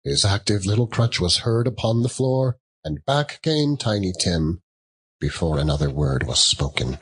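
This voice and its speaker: A man's voice: fairly low pitch, lively intonation, moderate pace, a bit bright, husky. A man narrating a classic story as a dramatic audiobook reading.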